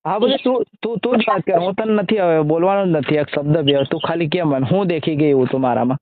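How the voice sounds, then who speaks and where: A man's voice: a little high, quick pace, no room sound. A man arguing casually over the phone in an exasperated, scolding way. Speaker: social media video creator taking a call. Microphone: phone call. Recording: tinny narrow-band phone line.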